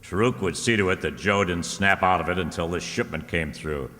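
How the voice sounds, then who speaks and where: A man playing a deep-voiced wisecracking detective. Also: fairly quick, bright, forceful tone. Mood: explaining. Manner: wisecracking, sardonic. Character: wry, tough male private detective, wisecracking. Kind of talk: old-time radio detective drama acting. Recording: hall-like reverberant radio play recording.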